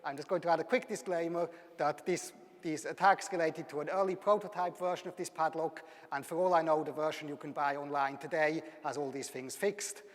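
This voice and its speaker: A man's voice: fairly high pitch, fairly even intonation, brisk. Swiss German man lecturing in a hall. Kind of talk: public lecture. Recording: hall reverb.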